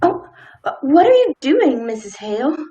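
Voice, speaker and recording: A woman's voice: high-pitched, sing-song, normal speed, mellow, slight background noise. A woman in a radio play acting a timid, dutiful, law-abiding small-town wife with hesitant, folksy old-fashioned speech. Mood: nervous, hesitant.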